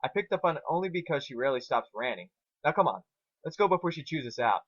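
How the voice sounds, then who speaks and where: A man's voice: a little high, expressive, quick pace, fairly bright tone, no echo, muffled recording. A man voicing a put-upon young male dragon sidekick with a weary, wordy way of complaining in a story reading. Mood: weary explaining.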